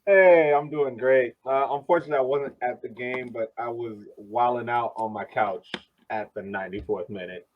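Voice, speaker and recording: A man chatting casually as co-host of a soccer fan podcast over a narrow phone-like connection, halting and repeating words as he thinks aloud. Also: medium pitch, slight background noise.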